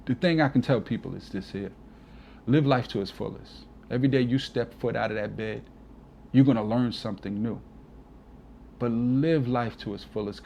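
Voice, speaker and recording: A man's voice: mid-range voice, creaky, warm tone, muffled recording, slight background noise. A man in his forties, a DJ guest on an interview, talking earnestly and from the heart, often adding "you know". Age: 40s. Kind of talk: casual reflective interview talk.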